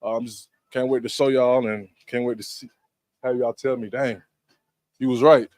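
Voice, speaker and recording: Cocky laid-back guy in his 30s, mid pitch, dry close mic. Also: steady tone, normal speed, a little mellow, no room sound. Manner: confident, boastful, laid-back. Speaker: football player. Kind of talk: press interview.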